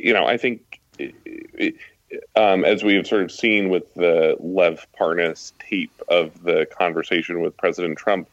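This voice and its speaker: A man speaking as a journalist guest, analysing politics conversationally with halting, stuttered restarts and many fillers. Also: low-pitched, fairly bright tone, no echo, lo-fi sound. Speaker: journalist and author, podcast guest.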